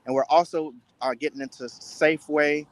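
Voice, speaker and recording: A man speaking as an interview guest, giving earnest, deliberate answers in a casual style. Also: a little high, steady tone, no room sound. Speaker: guest, spice entrepreneur.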